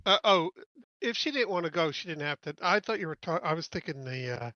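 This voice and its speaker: A man with a fairly high, sharp-edged voice banters casually as a tabletop game player. His recording is dry and a little dulled. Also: animated, a little fast, no echo, lo-fi sound.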